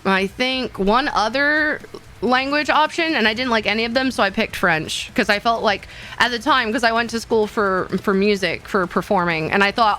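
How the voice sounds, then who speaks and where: A woman's voice: a little high, bright, forceful tone. A young woman streaming casually, rambling and teasing herself, with many fillers and laughs. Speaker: VTuber streamer.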